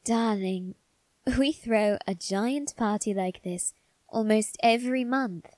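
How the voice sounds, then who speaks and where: A suave young vampire lord, acted out by a woman, murmurs to the listener in a mellow, unhurried voice. He is doting and genteel, with a condescending, faintly sinister sweetness. It is an intimate ASMR roleplay, recorded close and dry. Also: mid-range voice, no room sound, close to an everyday voice. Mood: coaxing. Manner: genteel, coaxing, softly condescending.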